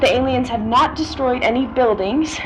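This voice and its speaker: A woman's voice: a little high, normal speed, close to an everyday voice, rough recording. A woman playing a disgruntled eye-in-the-sky news reporter giving a live report in a radio drama. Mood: grim, reporting. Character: disgruntled female airborne news reporter watching alien invasion. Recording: faint vehicle noise and ambience.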